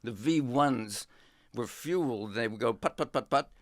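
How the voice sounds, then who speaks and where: An older man from England, a history professor, recalling his life in a relaxed interview with an unhurried, reflective manner. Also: mid-range voice, no echo, studio quality. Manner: unhurried, reflective storytelling with many pauses.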